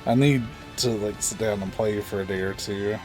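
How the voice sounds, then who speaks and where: A man's voice: fairly low pitch, fairly even intonation, moderate pace, rough recording. A man streaming a video game with casual, blunt, sweary commentary. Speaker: gameplay streamer. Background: game music.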